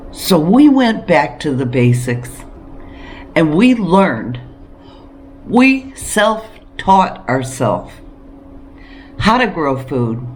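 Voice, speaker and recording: Lady homestead vlogger, slow n encouraging, pauses for emphasis, some noise behind her. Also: low-pitched. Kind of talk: casual preparedness talk to camera.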